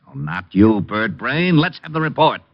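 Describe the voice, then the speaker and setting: An actor playing an exasperated, hot-tempered police lieutenant in an old radio drama. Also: male voice, medium pitch, very animated, normal speed. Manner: blustering, sputtering outbursts. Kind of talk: 1950s radio drama acting. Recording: muffled old radio broadcast.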